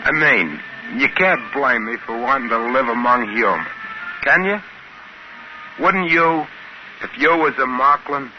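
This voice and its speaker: A man's voice: mid-range voice, lively intonation, unhurried, noisy background, lightly acted. An actor playing a plainspoken, wistful spaceman narrating in a homespun, colloquial way, in 1950s radio drama style on an old muffled recording. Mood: defensive.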